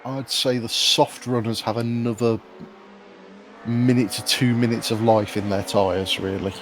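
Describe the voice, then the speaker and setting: A man giving casual, analytical live commentary on a sim race, explaining things in a measured way. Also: mid-range voice, little pitch movement. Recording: faint noise behind voice.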